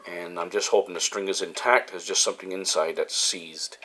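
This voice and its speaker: A man giving a casual hands-on repair demonstration, talking haltingly with frequent pauses mid-sentence. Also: sits low, steady tone, bright, forceful tone, slight background noise. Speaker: hobbyist host of a repair video series.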